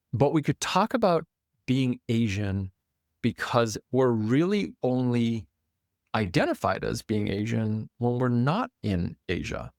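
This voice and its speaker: A man's voice: lowish voice, gravelly, no room sound, studio quality. A man born in Taiwan, hosting a solo podcast in a casual, conversational way with many fillers and restarts. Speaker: podcast host and author.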